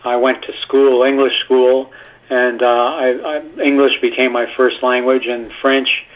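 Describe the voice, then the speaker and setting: A man's voice: mid-range voice, flat delivery, unhurried. Man on a tinny phone line. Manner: rambling, filler-heavy storytelling. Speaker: guest actor. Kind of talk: casual phone-in interview.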